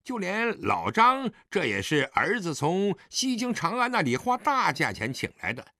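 A man's voice: mid-range voice, huge pitch swings, a little slow, pushed and bright, no echo, studio quality, full character voice. A man acting a drunk, defiant grown son who taunts his father insolently in an audiobook drama. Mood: provocative. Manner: insolent, taunting, slightly stumbling speech.

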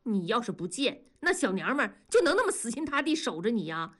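A woman voicing a fierce, sharp-tongued wife in an audiobook, jealous and scornful, scolding and interrogating. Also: fairly high pitch, big pitch swings, a little fast, pitched above the performer's own voice. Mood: accusing. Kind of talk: audiobook character dialogue.

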